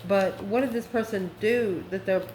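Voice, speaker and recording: A woman's voice: medium pitch, moderate pace, darkish tone. A woman giving an improvised online tarot reading in a folksy, colloquial and sassy way. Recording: roomy echo with faint background noise.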